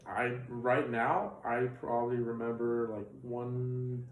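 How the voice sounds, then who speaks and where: A man's voice: medium pitch, flat delivery, slow pace, phone-quality sound. A young man in his twenties, originally from Bulgaria with Bulgarian as his mother tongue, tells his story in a loose, rambling interview manner. He sits some way from the microphone, and the room gives his voice a noticeable echo. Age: 20s. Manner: rambling, filler-heavy recollection. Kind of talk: casual interview. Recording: roomy sound from a distant mic.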